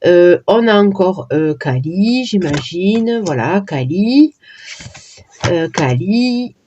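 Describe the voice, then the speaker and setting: A woman casually presenting her oracle card decks, talking in a hesitant way with many pauses and fillers. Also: on the low side, lively intonation, lo-fi sound, noisy background. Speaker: card reader vlogger.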